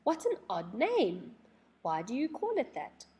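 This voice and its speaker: Woman reading as an eager, animated young girl. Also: on the high side, unhurried, breathy edge, voice pushed up, full character voice. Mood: wondering.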